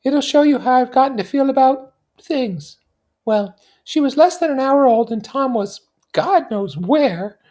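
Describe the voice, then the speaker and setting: A very high, swooping young society lady played by a man reading a novel aloud, gushing and theatrical. She sounds flighty and cynical, stretching her lines for drama. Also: animated, a little slow, a little mellow. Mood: confiding, dramatic.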